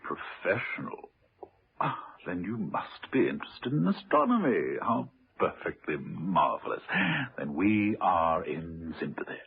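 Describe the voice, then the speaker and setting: An actor playing a cheerful, courtly, posh British chimney sweep with flowery, hesitant speech in a muffled radio play. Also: male voice, low-pitched, sing-song, unhurried, vocal fry, slightly rough, full character voice. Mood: jovial, affable. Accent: upper-class British. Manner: flowery, plummy, genteel and hesitant. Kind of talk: radio drama acting. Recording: muffled old radio recording.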